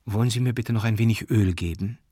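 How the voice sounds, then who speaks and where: A man's voice: fairly low pitch, quick pace, mellow, no room sound, studio quality, close to an everyday voice. A man voicing a polite but stubborn, wrinkled and pious cousin who pleads and preaches in an audiobook. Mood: polite, meek. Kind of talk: audiobook character acting.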